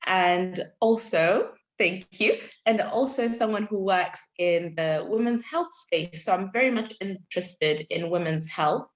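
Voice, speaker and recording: A young woman from Zimbabwe, a junior doctor, chatting openly as a podcast guest. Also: medium pitch. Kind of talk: casual podcast conversation.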